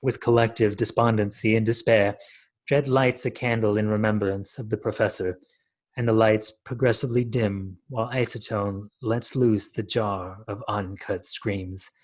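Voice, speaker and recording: A man's voice: on the low side, fairly even intonation, normal speed, a little mellow. A man in his thirties reading scripted stage directions as the narrator of a radio play, over a video call from a room at home.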